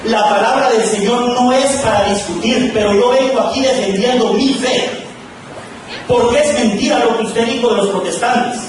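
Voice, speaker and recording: A man's voice: extremely high pitch, normal speed. A man from Guatemala preaching fiercely and accusingly as he confronts a speaker at a public event. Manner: fiery, accusing, often shouting. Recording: distant, roomy, noisy live recording.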